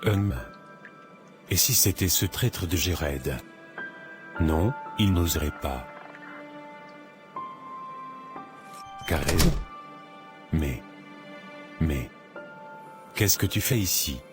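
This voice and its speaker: Un homme qui double un petit malfrat de dessin animé, affolé quand il est acculé. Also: male voice, very deep voice, lively intonation, slow pace, pauses often, full character voice. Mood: suspicious. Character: adult male small-time criminal, cornered and panicky, later repentant. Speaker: cartoon character. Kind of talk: animated drama dubbing. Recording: music playing behind the voice.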